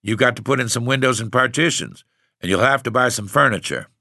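A man's voice: medium pitch, brisk, vocal fry, no room sound, clean studio sound, pitched above the performer's own voice. A man reading aloud voicing a determined older farm wife who speaks plainly and steadily. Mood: firm. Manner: steady, plain-spoken rural speech.

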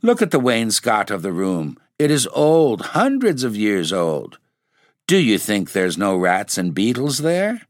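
A man's voice: wildly swooping pitch, a little slow, no room sound, studio quality. A man reading aloud, voicing a superstitious old charwoman with a blunt, emphatic country manner. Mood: insistent. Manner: emphatic, dialect-heavy, rising pitch.

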